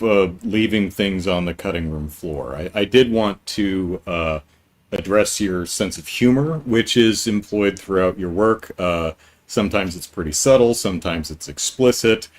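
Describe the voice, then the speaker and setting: A man hosting a live podcast, asking questions in a rambling way with many pauses and restarts. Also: low voice. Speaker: podcast host. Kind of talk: casual live-stream interview.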